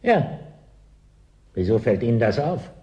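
An actor in a German radio play as an evasive, pedantic official who stubbornly denies danger. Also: male voice, medium pitch, big pitch swings, measured pace, warm tone, lo-fi sound. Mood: evasive, dismissive. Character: evasive male settlement bureaucrat, stubbornly denying the danger.

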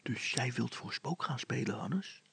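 A man playing a grumpy, cautious father who speaks in a hushed, grumbling tone. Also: animated, a little slow, creaky, slightly rough, dry recording, full character voice. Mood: cautioning. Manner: hushed, grumbling, low-key. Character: grumpy but cautious father, older than his boy.

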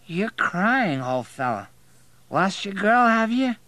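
Audiobook drunk: male, slurring and drawling, emotional, pitched well up. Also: very animated, slow pace, sharp tone, no room sound, exaggerated voice. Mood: drunken sympathy.

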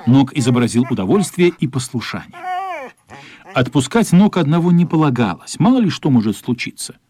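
A man's voice: medium pitch, sing-song, fairly quick, warm tone. A man narrating a radio play as a scripted story.

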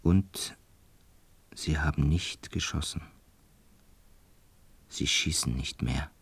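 An actor playing a lonely, weary older lodger in a German radio play, melancholic and halting, with a husky voice that often sinks toward a whisper. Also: male voice, rumbling low, no room sound, heavily acted. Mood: melancholic, resigned.

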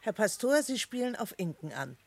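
A woman's voice: medium pitch, very animated, normal speed, gravelly, a little hiss or hum. An actress playing a sensible, guarded middle-aged mother in a radio play, speaking in a composed, formal and reserved manner. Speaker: actor. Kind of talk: German radio play acting.

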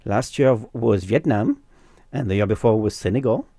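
A man's voice: fairly low pitch, normal speed, vocal fry, mellow, dry recording. A man co-hosting a community radio show, chatting casually and laughing often. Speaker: radio co-host.

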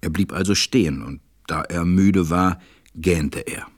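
A man playing a gentle, reflective storyteller, narrating a storybook radio play in a measured way. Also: low voice.

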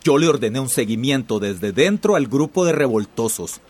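An actor playing an imperious, scornful company boss in a radio drama. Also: male voice, mid-range voice, animated, moderate pace, a little mellow, husky. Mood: stern. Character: domineering company boss, contemptuous and threatening.

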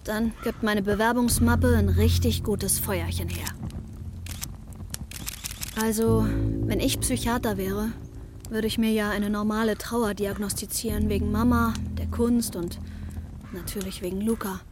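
A woman's voice: medium pitch, fairly even intonation, unhurried, over music, natural voice. An actress playing an intense, troubled young woman who narrates her breakdown in a wry, matter-of-fact way in a radio play.

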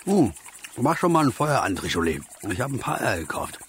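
An actor playing a grumbling, wry old soldier with a big appetite in a German radio play. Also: male voice, mid-range voice, big pitch swings, fairly quick, a little hiss or hum, lightly acted. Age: older. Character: old soldier, hungry, grumbling, gloomy male travel companion.

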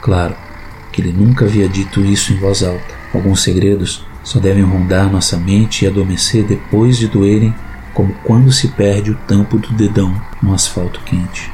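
A man narrates for a podcast from a written script. His voice is fairly low and dark-toned, mellow to the ear. Faint noise and ambience sit behind him. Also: little pitch movement, moderate pace, poor audio.

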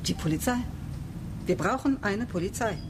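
A woman's voice: medium pitch, expressive, normal speed, background noise, close to an everyday voice. An actress playing a resolute woman leading a space colony in a radio play, insistent and earnest in standard German.

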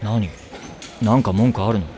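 A man playing a cynical, aloof teenage boy wizard in an anime fantasy parody, deadpan and sarcastic. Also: fairly low pitch, normal speed, lightly acted. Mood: irritated.

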